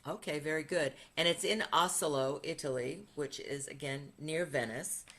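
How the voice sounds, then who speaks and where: A woman's voice: low voice, normal speed, fairly bright tone. A woman hosting a podcast interview. She is chatty and upbeat, and she laughs while she tells stories. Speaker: podcast host, retreat leader. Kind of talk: casual interview conversation.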